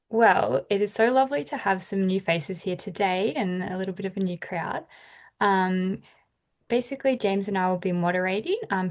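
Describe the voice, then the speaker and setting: A woman moderating an online debate, organising the session with a loose, friendly manner and many "um"s. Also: mid-range voice.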